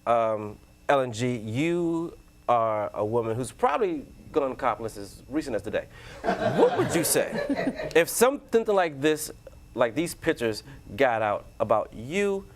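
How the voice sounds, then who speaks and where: A man's voice: medium pitch, big pitch swings, a little slow, slight background noise. A man hosting a comedic panel discussion, teasing guests and laughing often. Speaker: talk show host.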